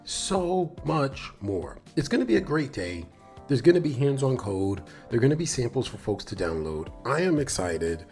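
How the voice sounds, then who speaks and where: A man's voice: medium pitch, very animated, moderate pace, a little mellow, faint music behind. A man hosting a casual live stream, slightly nasal, talking enthusiastically and directly to viewers in a rambling way that repeats phrases. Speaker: live stream host, developer advocate.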